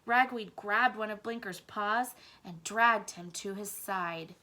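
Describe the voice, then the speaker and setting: Bright-voiced woman teacher reading aloud. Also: medium pitch, slow pace. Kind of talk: children's book read-aloud.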